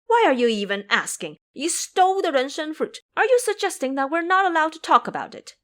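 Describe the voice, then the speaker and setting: Woman voicing a young Taoist boy, shrill, accusing, high pitched. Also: very animated, normal speed.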